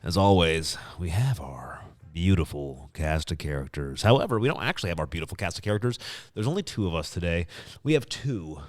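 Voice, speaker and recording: An American man hosting a comedic podcast in a casual, joking and theatrically expressive way. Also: low voice, a little fast, dry recording. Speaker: podcast host.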